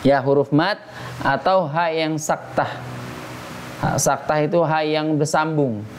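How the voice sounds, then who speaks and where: Slow-paced man teaching Quran recitation. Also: a little high, slight background noise. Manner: informal, patient explaining with check-in questions. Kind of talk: interactive tajwid lesson with recited examples. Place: small study gathering in a private home.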